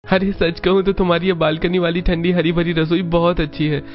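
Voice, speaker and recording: A man's voice: high-pitched, level tone, fairly quick, close to an everyday voice. A man playing a kind, supportive young husband in a cartoon family story, in a dull recording with music behind. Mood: admiring. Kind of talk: Hindi cartoon story dialogue.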